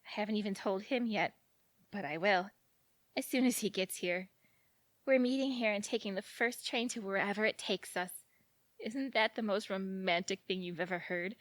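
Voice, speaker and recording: An actress playing a giddy, lovestruck romantic woman in an audio drama, gushing and dramatic and quick to take offence. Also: medium pitch, no room sound, close to an everyday voice. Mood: dreamy, in love. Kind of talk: audio theatre acting.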